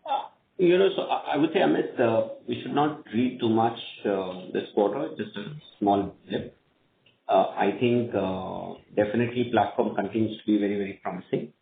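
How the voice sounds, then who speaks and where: A man with an Indian accent speaking as a company executive, answering questions on an earnings call in measured, hesitant phrasing. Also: on the low side, creaky. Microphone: conference call line. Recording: tinny phone line, echoey room, faint noise.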